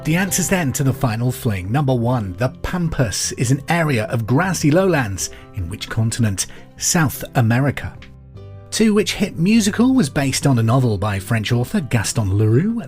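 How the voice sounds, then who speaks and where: A middle-aged man hosting a pub quiz podcast, reading out trivia questions and answers in a clear, deliberate way. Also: medium pitch, darkish tone. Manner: clear deliberate delivery, repeats each question. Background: music bed.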